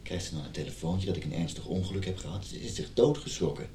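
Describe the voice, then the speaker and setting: An actor playing an injured husband in a radio drama, earnestly and emotionally pleading for reconciliation. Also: male voice, expressive, fast, dark tone. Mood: pleading, defensive. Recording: roomy sound with background rumble.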